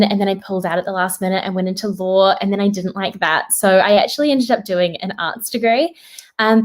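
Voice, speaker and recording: A woman in her twenties with a New Zealand accent, talking upbeat and chatty about her work at a virtual careers session. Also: mid-range voice. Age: 20s. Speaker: digital strategist presenter.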